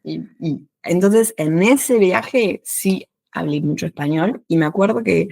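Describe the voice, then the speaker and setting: A woman in her thirties from France, a French teacher who speaks Spanish with an Argentine accent in a casual chat, lively and laughing often. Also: lowish voice, vocal fry, dry recording. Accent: Argentine Spanish with a French background. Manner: lively, laughs often, quick bursts of speech.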